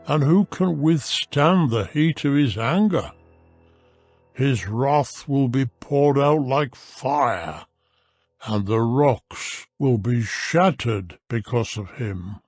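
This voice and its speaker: A man narrating a dramatized audio Bible in a solemn, deliberate, declamatory manner. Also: medium pitch, a little mellow, breathy edge. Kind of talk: dramatized scripture reading. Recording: music behind the voice. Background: music.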